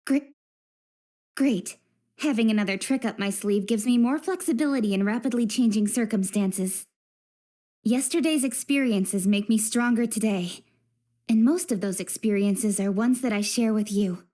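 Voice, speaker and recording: An actress playing a principled, impatient but sincere young woman official in an English game dub, speaking in a crisp, earnest, assertive way. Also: on the high side, moderate pace, dark tone, close to an everyday voice.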